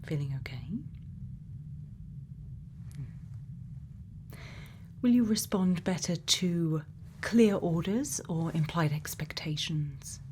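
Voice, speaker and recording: A woman playing a quirky, gently probing scientist in a soft-spoken ASMR roleplay, murmuring unhurried questions and sometimes half whispering. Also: on the low side, animated, noisy background. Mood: calm, attentive. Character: quirky, gently probing female scientist programming a robot's memories.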